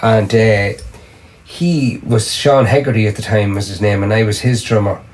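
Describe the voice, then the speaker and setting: A man's voice: fairly low pitch, moderate pace, rough recording. A middle-aged Irish man, a singer and drummer, chatting casually as a podcast guest, rambling with lots of restarts. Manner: rambling, restarts often, animated storytelling. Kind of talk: casual interview chat.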